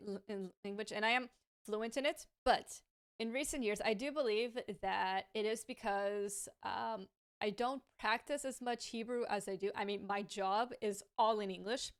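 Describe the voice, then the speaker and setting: Clear-voiced woman who stammers. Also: medium pitch. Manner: stammers, repeating sounds and restarting words; thoughtful and frank, with light laughs. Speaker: streamer answering viewer questions. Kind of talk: casual question-and-answer talk to camera.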